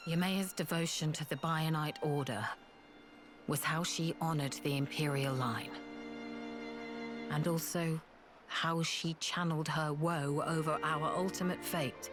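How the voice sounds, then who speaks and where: Low, bitter, proud young woman. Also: steady tone, unhurried. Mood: grave, wistful. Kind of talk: dramatic video game acting. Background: loud orchestral strings music.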